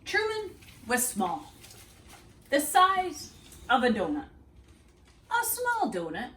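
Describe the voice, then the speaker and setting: A woman reading a children's storybook aloud as a read-aloud host, expressive and deliberate, with dramatic pauses and emphasis. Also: high-pitched. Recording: roomy echo, distant microphone.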